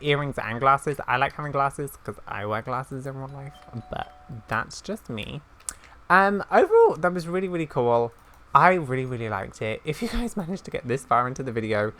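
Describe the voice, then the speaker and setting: A woman's voice: a bass voice, fairly bright tone. A woman hosting a gaming video, giving casual, rambling commentary and reactions as she plays.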